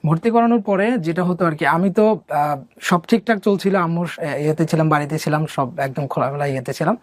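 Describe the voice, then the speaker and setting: A young man from Bangladesh, an interview guest telling his life story softly and hesitantly, with many pauses and fillers. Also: a little high, dry recording. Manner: soft-spoken, hesitant, many fillers and restarts.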